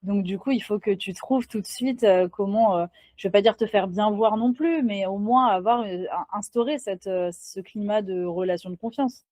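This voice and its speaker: A woman's voice: mid-range voice, moderate pace, few pauses, dark tone, no room sound. A woman hosting a live interview, casual and enthusiastic. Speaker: host.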